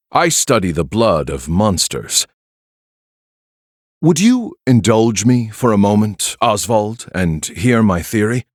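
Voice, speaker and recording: A man's voice: on the low side, no echo, clean studio sound, full character voice. A man playing an urbane, theatrical scholar villain in a video game, grandiose and savoring his words. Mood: intrigued, urbane. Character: smooth, theatrical scholar villain with hidden malice. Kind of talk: dramatic game voice acting.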